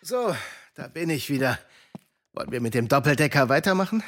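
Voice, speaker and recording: A man's voice: fairly high pitch, big pitch swings, fairly quick, a bit bright. A man playing a guarded uncle who mentors children, in a children's audio drama. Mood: thoughtful, troubled.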